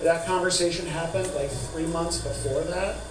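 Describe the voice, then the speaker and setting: A man's voice: a little high, steady tone, moderate pace, crowd noise. A man performing stand-up, telling a story and acting out the dialogue. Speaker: stand-up comedian. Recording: echoey, noisy room, far from mic.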